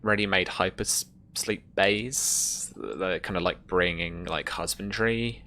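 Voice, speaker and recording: A game master improvises a tabletop roleplaying scene in a very low voice. The delivery is slow and halting, with a bright, forward tone as rulings and descriptions are worked out. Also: a little hiss or hum.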